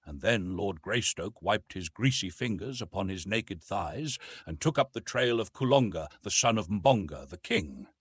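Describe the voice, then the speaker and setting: A man narrates a classic adventure story with lively, swooping intonation. His voice sits at a medium pitch and has a husky grain. The delivery is measured and dramatic, recorded close and dry. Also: no echo. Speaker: audiobook narrator.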